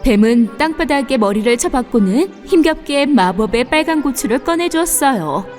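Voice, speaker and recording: A woman narrating a scripted fairy tale for children in an expressive storybook manner. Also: high-pitched, normal speed, soft background music.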